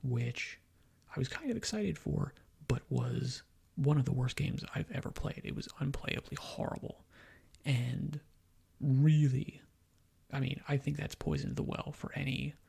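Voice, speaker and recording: A man giving soft-spoken ASMR gaming commentary in a breathy half-whisper, rambling and opinionated in a conversational way. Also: medium pitch, unhurried. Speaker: gaming video host.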